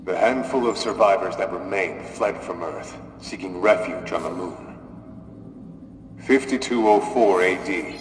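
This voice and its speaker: Guy doing solemn game war commander, low voice, big hall reverb, measured speech. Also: natural voice. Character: solemn authoritative military commander narrating humanity's war to android troops. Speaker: narrator and commander. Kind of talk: scripted video game narration. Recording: echoing hall with long reverb, hiss.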